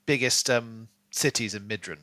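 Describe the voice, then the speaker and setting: A man's voice: medium pitch, normal speed, no room sound. A man chatting casually and animatedly as a podcast co-host in a book discussion. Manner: animated, acts out imagined lines in playful voices.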